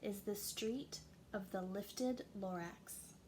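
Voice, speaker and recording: A woman's voice: mid-range voice, takes it slowly, background noise. A woman reading a rhyming children's storybook aloud expressively, like a teacher to young listeners.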